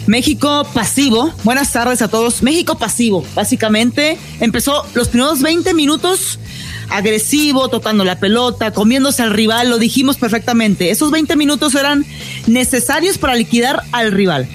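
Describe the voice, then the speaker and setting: A woman's voice: a little high, moderate pace, faint music behind. A woman giving football match analysis as a sports analyst, in emphatic declarative phrases.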